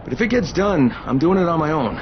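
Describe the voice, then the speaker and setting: A man's voice: on the high side, animated, brisk, highs cut off, background noise, natural voice. A man playing a determined action hero, an adult survivor in a zombie video game cutscene. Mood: firm, stubborn.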